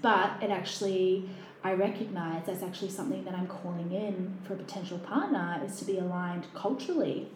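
A woman's voice: lowish voice, brisk, a little hiss or hum. A woman from New Zealand, a podcast guest talking casually, with many fillers and restarts. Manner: chatty, lots of fillers and restarts. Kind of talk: casual conversation. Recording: echoey room.

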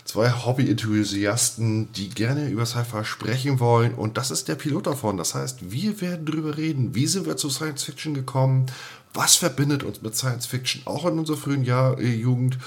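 A man's voice: medium pitch, moderate pace. A man hosting a casual podcast, chatty and jokey, often repeating words for emphasis. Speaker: podcast host.

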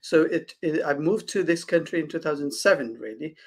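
A man's voice: fairly high pitch, lively intonation, a little fast. A man from Nepal, a guest consultant, answering interview questions hesitantly with many fillers and restarts.

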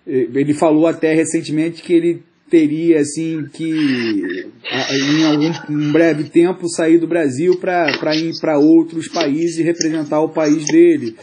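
A man's voice: on the high side, fairly even intonation, a little mellow, slight background noise. A male podcast co-host, asking a guest a long question, full of hesitations and fillers. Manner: halting, lots of fillers and restarts. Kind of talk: interviewing a guest.

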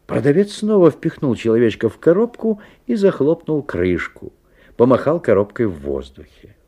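An actor playing an anxious, watchful father who narrates a strange tale in a 1970s radio play, expressive and dramatic. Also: mid-range voice, moderate pace. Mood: uneasy fascination.

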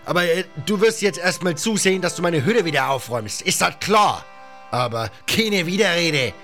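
Man playing a loud, bossy pirate captain. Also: high voice, animated, moderate pace, soft background music, voice pushed up. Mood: threatening, gruff. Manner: colloquial, barking orders, North German slang touches. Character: gruff, bossy one-eyed male pirate captain, middle-aged.

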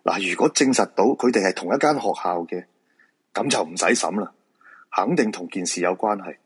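An actor playing a polite, nervous fortune-teller who sees ghosts, in a Hong Kong Cantonese radio drama, with a courteous, probing manner. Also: male voice, low voice, animated, a little fast, breathy edge. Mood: alarmed, reasoning. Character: polite, nervous male feng shui fortune-teller with ghost-seeing eyes.

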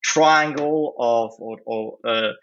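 A man, speaking as an interview guest in a hesitant, measured way with long pauses. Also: medium pitch, a bit bright, muffled recording. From Poland. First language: Polish. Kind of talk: conversational analysis in an interview.

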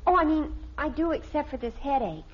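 A woman's voice: sits high, expressive, brisk. An actress playing an earnest, slightly evasive teenage daughter with a girlish manner in an old American radio family comedy. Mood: breezy, evasive. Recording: dull, faintly noisy old radio recording.

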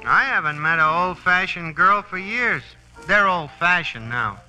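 A chummy, folksy barkeep in a vintage radio drama. His voice is high and very bright, swinging up and down in pitch. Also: lively intonation, measured pace, faint music behind, exaggerated voice, rough recording. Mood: chummy, nosy. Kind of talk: old-time radio drama acting.

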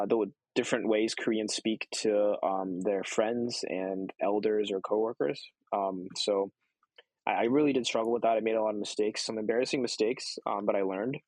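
Man, twenties, fairly low voice, hesitant with fillers, dry room. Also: dry recording, poor audio. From United States. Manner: hesitant, many ums and restarts. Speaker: guest. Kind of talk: casual interview answers.

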